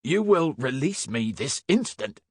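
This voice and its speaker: A commanding Victorian police inspector, acted by a male audiobook reader, gruff and short-tempered. He speaks bluntly and imperiously, with big swings in pitch. The sound is dry. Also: expressive, moderate pace, a bit bright, no echo. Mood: indignant.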